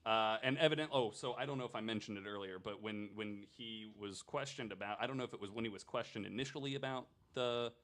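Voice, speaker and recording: A man's voice: fairly low pitch, fast, few pauses, fairly bright tone. A man hosting a podcast, telling a true crime story in a casual, chatty way with joking asides.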